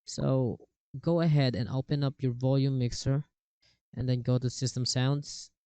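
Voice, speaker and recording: A man walks through a software tutorial in a mellow, relaxed voice. His sound is smooth and close, with very little room to it. The phrasing is casual and a bit halting as he moves from step to step. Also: mid-range voice, dry recording. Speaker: tutorial host. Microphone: cheap desktop microphone with software processing.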